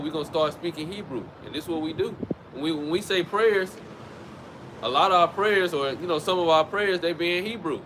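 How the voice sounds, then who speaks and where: A man teaching outdoors by a street in a loose, conversational way, often restarting his phrases. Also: a little high. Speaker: street preacher. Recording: outdoor traffic noise. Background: passing vehicles.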